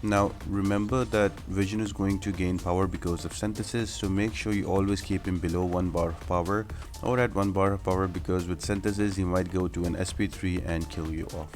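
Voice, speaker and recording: Deep, even-toned man explaining gameplay. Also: fairly quick. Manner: explanatory, step-by-step instructional. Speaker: gaming video creator giving fight tips. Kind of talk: casual game walkthrough commentary. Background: music behind the voice.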